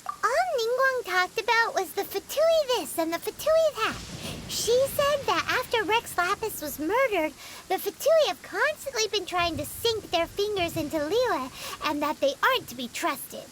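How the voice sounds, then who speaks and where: Here is a chatty little fairy girl from a video game. The voice sits extremely high and leaps up and down with her excitable, nosy chatter. She names herself in the third person, and the game audio has a clear hiss of noise behind her. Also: normal speed, heavily acted, low-quality recording. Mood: gossipy.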